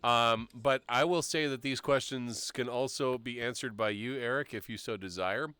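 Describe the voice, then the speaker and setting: A man hosting a casual live-stream talk show, reading viewer questions aloud in a relaxed, rambling way. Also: medium pitch, moderate pace, smooth, no echo, faint music behind. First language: English. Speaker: show host.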